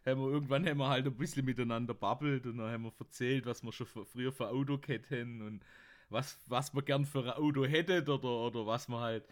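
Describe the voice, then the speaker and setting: A man's voice: medium pitch, fairly even intonation, quick pace. A young man telling rambling anecdotes casually, often laughing at his own stories. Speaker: podcast host.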